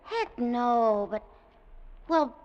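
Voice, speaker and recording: A woman's voice: on the high side, very animated, very slow, heavily acted. A woman playing an eager but cautious ten-year-old boy, chattering in boyish slang in 1940s radio acting. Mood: uneasy. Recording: muffled, faintly noisy old radio recording.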